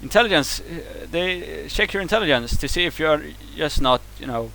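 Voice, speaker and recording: A man's voice: on the high side, expressive, moderate pace, creaky, pushed and bright, slight background noise, low-quality recording. Fired-up Swedish-accented man ranting. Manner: heated, profane, stumbling restarts. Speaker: vlogger reacting to a video. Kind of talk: angry rant to camera.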